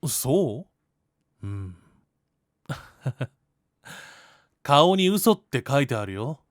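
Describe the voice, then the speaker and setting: A man playing a kind, perceptive high school boy who gently teases and reassures, in an anime-style voice drama. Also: very animated, extremely slow, dry recording, clean studio sound. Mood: gently teasing.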